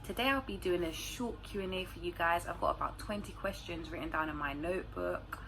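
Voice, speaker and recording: A woman with a London accent and a low voice talks casually to camera, answering viewer questions. Her way of talking is informal and slangy, and there is some noise in the recording. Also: brisk. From London, England. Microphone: phone recording. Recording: clearly noisy room recording.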